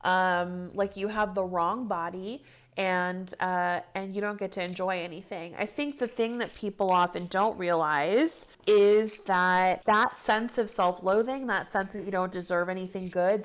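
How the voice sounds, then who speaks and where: Woman in her 30s, podcast guest, earnest, reflective, gets animated, lots of um and like. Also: on the low side. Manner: earnest, animated when excited, many fillers. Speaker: guest author and activist.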